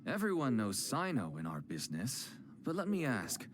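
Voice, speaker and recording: Shady trader, wary, smooth-talking, conciliatory, lively swooping pitch, anime game, music behind. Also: male voice, moderate pace. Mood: warning.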